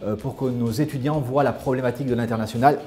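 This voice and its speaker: A man explaining a school's programs in a measured way, with frequent hesitations. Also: mid-range voice, fairly even intonation, mellow. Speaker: school representative. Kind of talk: promotional interview.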